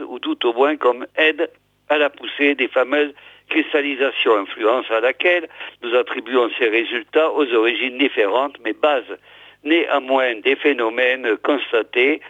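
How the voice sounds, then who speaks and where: A hoarse man presents a local radio programme, reading old articles aloud in a halting way full of fillers. His voice sits at a middle pitch, and it comes through thin and phone-like, with a dry, close sound. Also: no room sound. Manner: hesitant, many fillers and restarts. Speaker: radio host.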